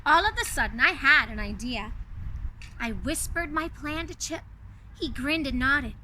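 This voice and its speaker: A woman reading a children's story aloud as a fairy-tale princess, expressive and carefully enunciated. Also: sits high, unhurried, a little hiss or hum, poor audio. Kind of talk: animated children's read-aloud.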